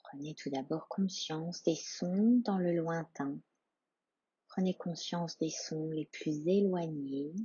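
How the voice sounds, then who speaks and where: A woman guides a meditation in a low, very breathy voice, hushed and soothing. She speaks very slowly, leaving room between phrases. The recording is slightly muffled. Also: no echo. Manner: hushed, calm, soothing, with long pauses. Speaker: yoga nidra instructor. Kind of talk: guided meditation, soft slow instruction.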